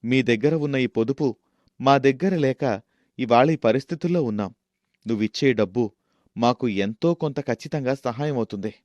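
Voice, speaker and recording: A man's voice: medium pitch, normal speed, darkish tone, no echo, lightly acted. A man voicing a troubled young husband who runs a business, speaking plainly in a story. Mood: chastened. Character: young husband running a failing boutique.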